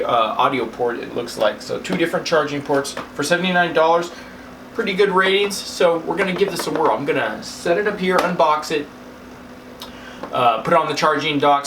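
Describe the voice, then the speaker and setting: Guy doing a casual unboxing, kinda crackly voice, noisy background with crinkling, thinks out loud. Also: on the high side. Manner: informal, thinking aloud while handling items. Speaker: gadget review channel host. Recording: distant mic, clearly noisy, handling crinkle.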